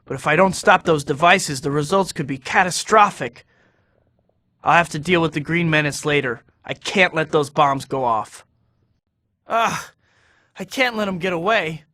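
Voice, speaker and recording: A man's voice: on the high side, normal speed, bright, forceful tone, long reverb tail. An actor voicing a wisecracking young male superhero in a video game, trading sarcastic banter. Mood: tense. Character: wisecracking young male web-slinging hero. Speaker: game hero voice clips. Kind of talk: scripted game voice acting.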